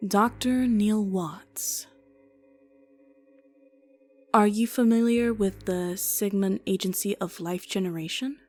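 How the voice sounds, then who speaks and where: A woman voicing a calm, methodical female doctor who speaks in a measured, matter-of-fact way. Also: medium pitch, faint music behind. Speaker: voice actor in a fan game dub.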